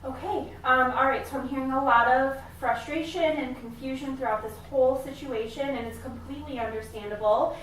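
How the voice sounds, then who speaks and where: Echoey, higher-voiced woman acting as a supportive therapist. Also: brisk, few pauses. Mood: calm, supportive. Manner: hesitant, gently validating, frequent ums. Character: supportive, attentive female family therapist leading a session. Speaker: family therapist. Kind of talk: improvised therapy role-play. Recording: echoey room, distant mic, faint noise.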